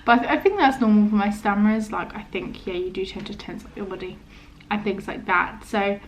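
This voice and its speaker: Young woman, mid-pitched voice, stammer, casual vlog chat, hesitant, halting, trailing off. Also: slight background noise, rough recording. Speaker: vlogger.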